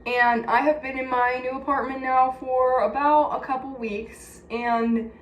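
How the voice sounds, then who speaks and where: Higher-voiced Californian woman in an echoey room. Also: little pitch movement, measured pace. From California, United States. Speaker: personal vlogger. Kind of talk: candid casual chat to viewers. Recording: echoey room with faint background noise.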